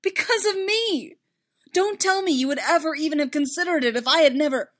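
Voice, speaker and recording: A woman's voice: medium pitch, animated, close to an everyday voice. Woman acting an anguished, self-loathing young man. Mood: bitter, upset. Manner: halting, emphatic, desperate.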